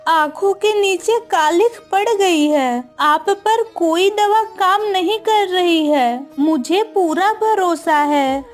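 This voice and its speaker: A woman's voice: extremely high pitch, exaggerated voice. A woman voicing a sorrowful but steadfast landlord's wife in a cartoon moral story, pleading and consoling.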